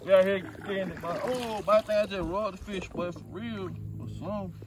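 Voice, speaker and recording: A young man vlogging casually outdoors on a lake, talking in slang with excitable, nervous laughter into a phone held close. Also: high voice, a little fast, crowd noise. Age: young adult. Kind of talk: casual vlog chat. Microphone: phone camera held close.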